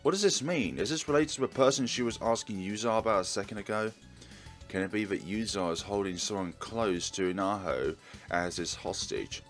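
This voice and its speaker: A man with a fairly low voice hosts a let's play. He reads visual novel narration aloud and adds casual commentary, with soft music in the background. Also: a little fast.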